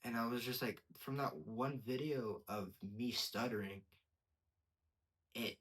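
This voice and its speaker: A young man with a stutter gives a casual personal testimony as a podcast host, repeating words and pausing mid-sentence. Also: mid-range voice, a bit bright, breathy edge, poor audio.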